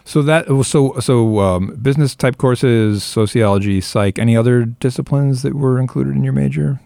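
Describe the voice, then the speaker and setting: A man's voice: medium pitch, moderate pace, vocal fry, warm tone, no room sound, clean studio sound. A man interviewing a student, encouraging, often restating answers with you know and right. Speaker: interviewer, faculty host. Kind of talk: conversational interview.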